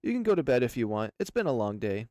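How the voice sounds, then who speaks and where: A man voicing a shy, nervous, gentle young animal character in a visual novel, speaking in a hesitant, apologetic, soft-spoken way. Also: medium pitch, very animated, quick pace, dry recording, clean studio sound, natural voice.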